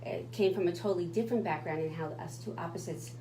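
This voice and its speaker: A woman's voice: on the low side, fast, reverberant, noisy background. A woman co-hosting a radio talk show, speaking in an emphatic, motivational way. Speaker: radio co-host.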